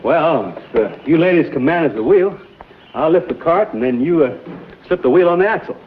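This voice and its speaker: A man's voice: a little high, expressive, normal speed, slight background noise, lightly acted. An actor playing a drawling American frontiersman. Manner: drawling, hesitant with fillers. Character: easygoing frontiersman hero, adult man. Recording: tinny old TV soundtrack.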